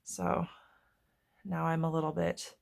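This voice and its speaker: Hoarse, congested woman with a gravelly low voice. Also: level tone, slow, a little mellow. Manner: chatty, stops to search for words. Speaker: tarot reader. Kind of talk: casual spoken tarot reading to viewers.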